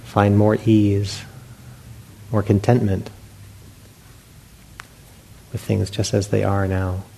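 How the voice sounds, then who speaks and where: Male meditation teacher, dark relaxed tone with gravelly fry, speaking gently in spaced-out phrases. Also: lowish voice, low-quality recording. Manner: unhurried, gentle guidance with long pauses. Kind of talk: guided meditation instruction to a group. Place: meditation hall with a group. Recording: faint background noise.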